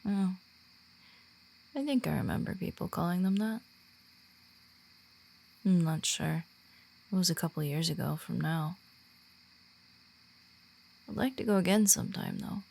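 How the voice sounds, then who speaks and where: A woman playing a shy, earnest werewolf who guides a newcomer in a roleplay audio, speaking softly and haltingly. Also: on the low side, expressive, gravelly, full character voice. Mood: wistful, shy. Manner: hesitant, halting, awkward when personal. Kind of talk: roleplay audio drama, softly spoken to the listener.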